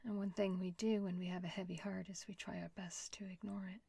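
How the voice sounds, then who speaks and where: A woman leading a guided meditation in a soft, whispery, gentle voice with long pauses. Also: medium pitch, flat delivery, creaky, husky, no room sound.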